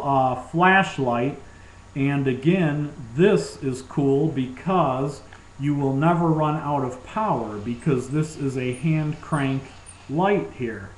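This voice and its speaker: A man's voice: mid-range voice, a little mellow, a little hiss or hum. A man giving an informal, enthusiastic product demonstration as a presenter. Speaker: presenter demonstrating survival gear. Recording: roomy, echoing sound.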